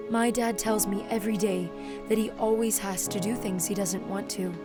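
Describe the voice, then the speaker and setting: Woman as a slow-spoken, troubled teenage girl. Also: medium pitch, fairly even intonation, over loud music, natural voice. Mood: weary. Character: downcast teenage girl struggling with depression. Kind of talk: audio drama acting.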